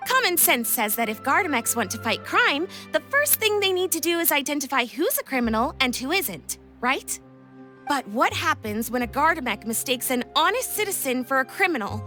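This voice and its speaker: Lapine Pauline, bright high-voiced young inventor. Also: female voice. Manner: bubbly, persuasive, explanatory, theatrical. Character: Lapine Pauline, confident, enthusiastic young female tech inventor. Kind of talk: video game voice acting.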